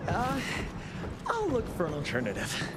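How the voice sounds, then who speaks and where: A nerdy, excitable young American sidekick in a video game. The voice is high and very animated, tumbling through nervous, gushing explanations. There is loud ambient noise behind it. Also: male voice, normal speed. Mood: flustered. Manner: stammering, flustered, gushing. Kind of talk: video game cutscene acting.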